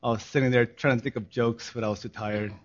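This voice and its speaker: A hoarse man preaching to his congregation, his voice at an ordinary middle pitch. He starts easygoing and laughing, then settles into an earnest, solemn sermon. The recording sounds a little dull and muffled. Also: quick pace. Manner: laughing, relaxed opening turning earnest and solemn. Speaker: pastor.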